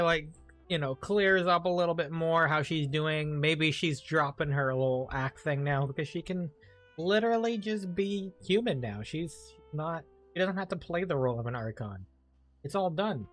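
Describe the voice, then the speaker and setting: A man's voice: fairly high pitch, fairly bright tone. A man giving casual let's play commentary, thinking aloud in a hesitant, rambling way. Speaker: game streamer.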